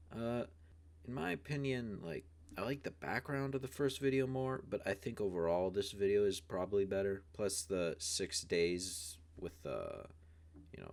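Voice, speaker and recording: A man hosting a video with casual commentary, talking in a rambling, informal, hedging way. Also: on the low side. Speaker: video host.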